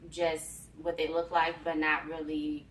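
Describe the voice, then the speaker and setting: A woman's voice: low-pitched, completely flat delivery, a little slow, a bit bright. An American woman of about thirty-one, an interview guest reflecting informally on her personal life. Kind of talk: casual personal storytelling. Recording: echoey room, distant mic, faint noise.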